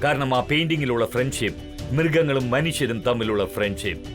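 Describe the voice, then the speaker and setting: A man's voice: medium pitch, lively intonation, fast talker, natural voice. Wealthy cartoon schemer, male actor: mid-range voice, suave, self-important, English flourishes, over background music. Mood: firm, self-important. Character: smug wealthy male art-buying cartoon villain. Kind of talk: children's cartoon dub. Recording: music behind the voice.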